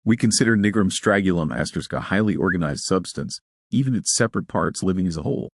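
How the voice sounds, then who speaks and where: A man's voice: low-pitched, animated, quick pace, no echo, clean studio sound. A man voicing a jumpy, chatty male technician who explains things casually in an audio story. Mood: earnest.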